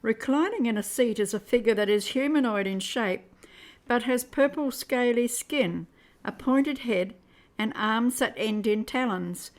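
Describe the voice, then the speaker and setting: A woman's voice: fairly high pitch, measured pace. A woman reading scripted narration for an amateur radio play.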